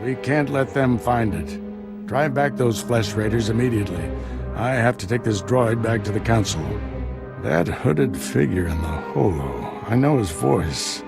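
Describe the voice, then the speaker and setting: An actor playing a seasoned, commanding Jedi master in a video game, solemn and authoritative. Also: male voice, mid-range voice, expressive, a little slow, vocal fry. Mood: urgent command. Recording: loud music behind.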